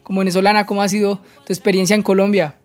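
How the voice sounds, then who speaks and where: A man's voice: sits high, level tone, racing. A male radio host, asking short questions and introducing songs in a friendly way. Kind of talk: radio interview hosting.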